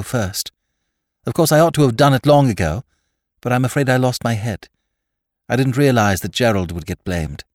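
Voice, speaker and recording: A man's voice: medium pitch, no echo, clean studio sound, close to an everyday voice. A man voicing a young aristocratic woman who is earnest, anguished and stubbornly insistent, in an audiobook novel. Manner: grave, earnest, formal phrasing.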